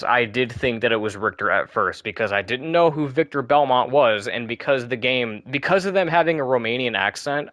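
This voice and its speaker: A man's voice: medium pitch, a bit bright, dry recording, clean studio sound. Rambling man commenting on gameplay. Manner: rambling, chatty, with frequent restarts. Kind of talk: casual let's play commentary.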